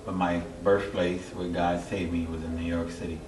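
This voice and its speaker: A middle-aged man from Haiti giving a personal testimony as an interview guest, halting and searching for his words. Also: deep voice, level tone. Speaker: interview guest, church member. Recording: echoey, distant mic, clear background noise.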